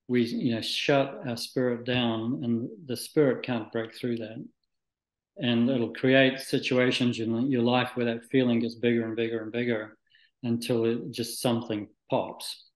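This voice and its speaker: Male interview guest, from Scotland and New Zealand, medium pitch, thoughtful and halting, recorded in an echoey room. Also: fairly even intonation. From Scotland, moved to New Zealand at nine. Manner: halting, thoughtful, searching for words. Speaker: interview guest, spiritual practitioner. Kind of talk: reflective, conversational interview answers.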